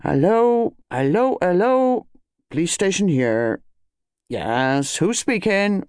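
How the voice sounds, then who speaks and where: Man acting an officious, animated village constable. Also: high voice, slow pace, smooth, no room sound, studio quality, voice pushed up, heavily acted. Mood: impatient, official. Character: officious, deferential English village police constable.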